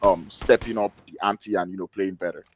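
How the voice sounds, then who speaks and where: A man giving live esports analysis, animated and rising in excitement on big plays. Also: low-pitched, a little fast, no echo, clean studio sound, soft background music.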